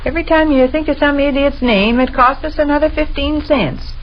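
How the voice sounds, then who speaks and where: A woman's voice: sits high, brisk, close to an everyday voice. A woman playing a thrifty, plain-spoken, challenging American homebody wife in a 1930s radio comedy. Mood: skeptical, scolding. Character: Sade, thrifty, nagging, folksy homebody wife. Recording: dull, noisy 1930s radio recording.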